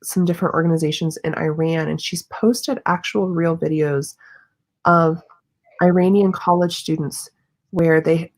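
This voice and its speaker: Earnest low-voiced woman. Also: a little mellow. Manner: earnest, opinionated. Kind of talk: casual video chat.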